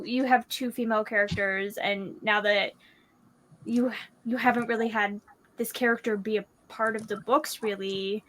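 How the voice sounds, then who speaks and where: Girl in her early 20s, kinda high voice, chatty book talk, animated ranting w lots of likes. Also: a little hiss or hum. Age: early twenties. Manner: animated venting with frequent "like". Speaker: book discussion participant. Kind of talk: casual book discussion chat.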